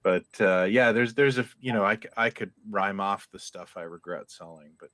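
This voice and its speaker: A man from Canada chatting about vintage toys in a halting, self-deprecating way, with many restarts. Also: on the low side, fairly bright tone, no room sound. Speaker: toy collector and writer. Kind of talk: casual panel chat.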